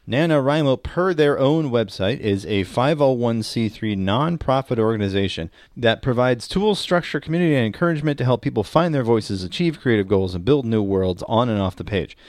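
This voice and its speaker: A man's voice: medium pitch, a little fast, hardly any pauses, a little mellow, dry recording, clean studio sound. A man co-hosting a podcast in casual comedic talk, explaining things in a wry, opinionated way. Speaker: podcast co-host.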